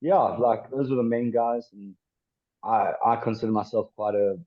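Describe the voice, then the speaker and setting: A man in his twenties from South Africa, a former rugby player chatting as a podcast guest, with lots of pauses and restarts. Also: fairly low pitch, fairly even intonation. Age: 20s. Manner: hesitant, many ums and restarts. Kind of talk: casual interview chat. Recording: dull lo-fi sound.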